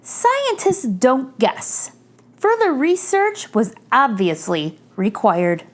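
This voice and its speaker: A woman's voice: sits high, very animated, takes it slowly, exaggerated voice. A woman voicing an earnest, skeptical young girl scientist in a storybook, with precise, matter-of-fact questioning. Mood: skeptical, exacting.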